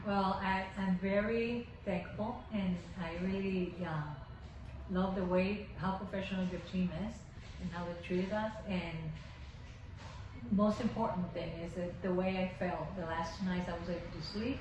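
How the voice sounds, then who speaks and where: A woman from Michigan, a patient, speaking earnestly and haltingly about her treatment. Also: lowish voice, steady tone. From Michigan, USA. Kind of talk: patient testimonial. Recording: echoey room, far from mic, dull and noisy.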